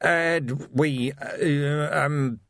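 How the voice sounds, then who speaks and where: A man's voice: a little high, fairly even intonation, extremely slow, vocal fry, a bit bright, no echo, studio quality, pitched above the performer's own voice, heavily acted. A man playing a harried, commanding watch sergeant in an audiobook, firm and blunt. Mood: flustered.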